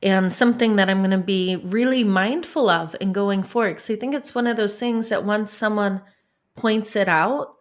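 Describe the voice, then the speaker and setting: A woman's voice: medium pitch, moderate pace. A woman hosting an interview podcast, enthusiastic and affirming as she asks her questions. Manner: enthusiastic, affirming, frequent back-channel agreement. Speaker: podcast host. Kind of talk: conversational interview.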